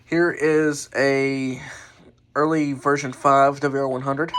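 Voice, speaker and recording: Guy doing a casual show and tell of his stuff, medium pitch, kinda halting, corrects himself a lot. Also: little pitch movement, fairly bright tone. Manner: halting, offhand, self-correcting. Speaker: hobbyist presenter showing a radio collection.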